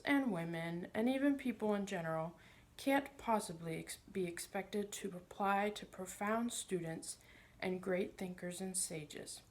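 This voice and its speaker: A woman's voice: mid-range voice, natural voice. A woman voicing a pompous, sly older male magician in a storybook, smug and condescending, with a wheedling manner.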